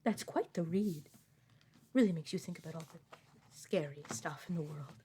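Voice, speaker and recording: Woman acting as a female clerk, soft-spoken, courteous, helpful, audio roleplay, noticeable background noise. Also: low-pitched, normal speed, lightly acted. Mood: gentle, helpful. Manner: polite, hushed, helpful.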